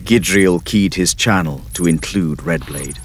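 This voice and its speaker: A man's voice: low voice, a little slow, creaky, a little hiss or hum. A man giving dramatic scripted narration as the storyteller of an audio drama. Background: music.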